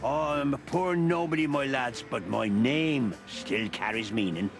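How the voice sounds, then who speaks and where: A man's voice: medium pitch, a little slow, close to an everyday voice. An actor playing a jovial, stubborn old beaver in a video game, with an Irish accent and a teasing, folksy, fatherly manner. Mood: defiant, proud. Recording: music under the voice.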